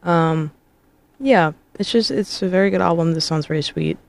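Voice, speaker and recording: Male radio host, hoarse and gravelly, casual halting DJ patter. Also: a little high. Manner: loose, halting, with filler words. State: hoarse from illness.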